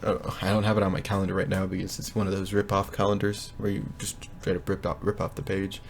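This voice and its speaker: Young man, deep-ish, gravelly, hesitant rambling, casual bedroom vlog. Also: flat delivery, slight background noise. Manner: rambling, hesitant, pauses to think aloud. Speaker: language learner vlogger. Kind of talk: casual progress update. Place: bedroom at home.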